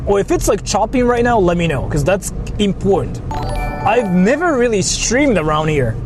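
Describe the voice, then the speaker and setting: A man's voice: sits high, sing-song, normal speed, over music. A man livestreaming from a moving car, chatting casually in a rambling, repetitive way. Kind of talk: casual livestream chat while driving. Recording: loud car and road noise. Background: vehicle driving noise.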